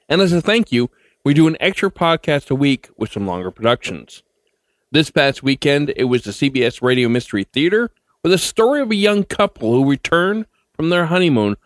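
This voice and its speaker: Male podcast host for scripted intros, animated intonation, dry close sound. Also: on the high side, dry recording.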